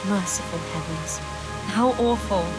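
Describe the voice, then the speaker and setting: A woman's voice: fairly low pitch, measured pace, close to an everyday voice, low-quality recording. An actress playing a devout, caring medieval woman in a video game, earnest and full of concern. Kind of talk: video game dialogue acting. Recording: music clearly behind the voice.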